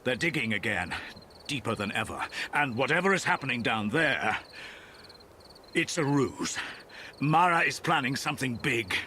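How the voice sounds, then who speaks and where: An actor playing a grave, weary man entrusted with an old secret in a game, speaking solemnly and deliberately. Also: medium pitch, very animated, sharp tone, slight background noise. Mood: grave, urgent.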